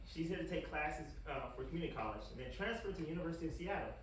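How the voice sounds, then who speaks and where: An actor playing a wicked, scheming male scientist in an animated comedy table read, awkward and evasive, with a halting deadpan delivery. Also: mid-range voice, natural voice. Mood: awkward defensive. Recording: echoey room, distant mic, faint noise.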